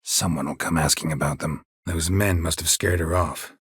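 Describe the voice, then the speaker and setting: An actor playing a gruff, stoic monster hunter in a video game, with terse, deadpan, dry-witted delivery and a gravelly voice. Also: male voice, moderate pace, no echo, heavily acted. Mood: dry, guarded. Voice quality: gravelly, husky low voice. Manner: terse, deadpan, clipped phrasing.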